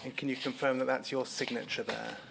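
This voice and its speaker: A man's voice: mid-range voice, level tone, brisk, vocal fry, a bit bright, a little hiss or hum, rough recording. A man serving as counsel who questions a witness at a formal hearing in a polite, courteous way, with frequent ums.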